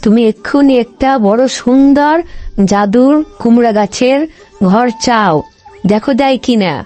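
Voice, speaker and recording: A woman's voice: fairly high pitch, a little slow, dark tone, natural voice. A woman voicing a demanding wife fox in a cartoon moral story, speaking in a homely, instructing manner. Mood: delighted. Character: bossy, demanding wife fox, longing for better home. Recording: music behind the voice. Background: music.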